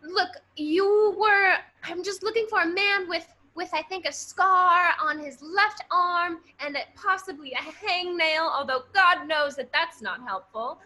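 Rambunctious lady detective, played by a woman: very high, bright voice, eager and insistent. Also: measured pace, hardly any pauses, full character voice. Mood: earnest, eager. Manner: eager, insistent, over-earnest. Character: rambunctious earnest woman amateur detective obsessed with kicking. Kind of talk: improvised radio-play parody.

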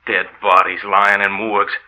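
A blunt, uneasy skeptic speaks in a very hard, sharp voice. He sounds exasperated and tough in the manner of vintage radio drama. Faint noise runs under the old recording. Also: fairly low pitch, flat delivery, normal speed, rough recording. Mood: nervous disbelief. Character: skeptical, uneasy, tough-talking man in a morgue. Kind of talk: 1940s radio drama acting.

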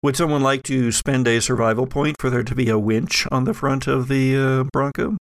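A man working as game master, narrating an improvised tabletop horror game in halting, pieced-together descriptions. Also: medium pitch, steady tone, no echo.

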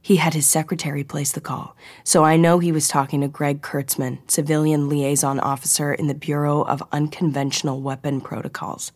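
Woman playing a wry, low-voiced female spy. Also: level tone, darkish tone, dry recording. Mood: eager, conspiratorial. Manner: brisk, wry, holding back amusement. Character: wry, eager young female spy on assignment.

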